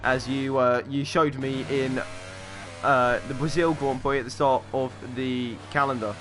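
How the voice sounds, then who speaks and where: A man commentating a sim racing qualifying session, calling laps quickly and excitedly, with offhand asides. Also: medium pitch, background noise. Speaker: race commentator.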